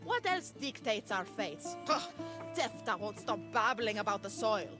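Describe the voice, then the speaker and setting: An actress playing a pious, bitter farm owner, complaining self-righteously in a video game cutscene. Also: female voice, medium pitch, sing-song, unhurried, music in the background. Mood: scornful, pious.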